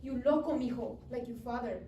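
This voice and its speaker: Woman playing a high-pitched, scolding mother. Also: a little fast, warm tone, a little hiss or hum, lightly acted. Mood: exasperated. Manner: exasperated, dramatic, shrill outbursts. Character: worn, scolding Mexican mother, thirty-five, excitable. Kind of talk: live comedy screenplay table read. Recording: echoey room, distant microphone.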